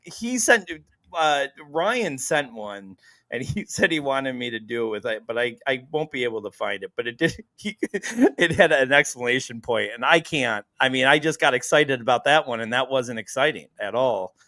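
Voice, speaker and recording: A man's voice: medium pitch, lively intonation, moderate pace, sharp tone, dry recording. Guy hosting a hockey podcast, laughs a ton, swears some, dry close mic. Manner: laughs often, joking, swears casually. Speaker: podcast host. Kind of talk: casual sports podcast chat.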